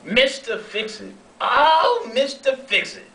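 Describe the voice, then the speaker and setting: A man's voice: extremely high pitch, very animated, slow pace, vocal fry, bright, forceful tone, voice pushed up, heavily acted. A man playing an officious, self-important railroad underling in an amateur read-through of a period sketch, speaking formally. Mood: scolding. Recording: echoey room, distant mic.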